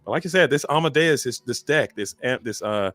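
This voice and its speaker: A man giving lively, joking play-by-play commentary on a live card game. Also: medium pitch, no echo. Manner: energetic, joking, drawn-out emphasis.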